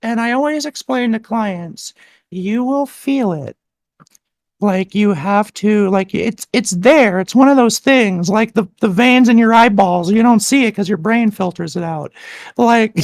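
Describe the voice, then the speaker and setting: A woman's voice: medium pitch, darkish tone, no room sound. A woman voice coach talking casually and animatedly about resonance, with many "like"s. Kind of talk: casual chat.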